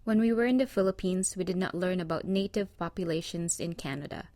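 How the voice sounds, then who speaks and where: Woman reading a presentation script, steady and measured, dry sound. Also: fairly low pitch, no room sound. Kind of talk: scripted narration.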